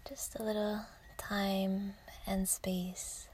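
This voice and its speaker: A woman guiding a yoga nidra meditation in a soft, breathy near-whisper, gentle and soothing, with unhurried pauses. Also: mid-range voice, flat delivery, slight background noise. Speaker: meditation guide.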